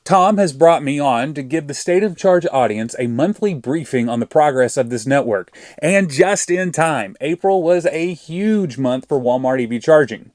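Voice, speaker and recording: Arkansas guy reading an ev news update, fairly high voice, dead dry sound. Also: animated, moderate pace, few pauses, a little mellow, no room sound. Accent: Arkansas Southern US. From Arkansas, USA. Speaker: guest presenter.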